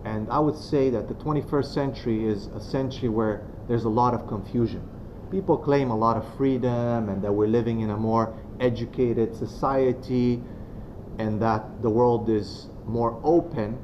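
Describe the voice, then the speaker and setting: A man from Romania giving a religious talk to students as a guest speaker. He is soft-spoken and unhurried, and he pauses often and uses many fillers. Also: mid-range voice, steady tone. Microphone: venue microphone. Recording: dull lo-fi sound with clear background noise.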